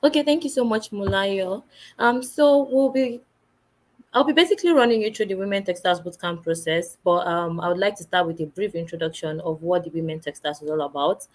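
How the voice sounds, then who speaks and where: Low-voiced woman explaining a program. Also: brisk. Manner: steady, lists items one by one. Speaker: program presenter. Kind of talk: informational explanation.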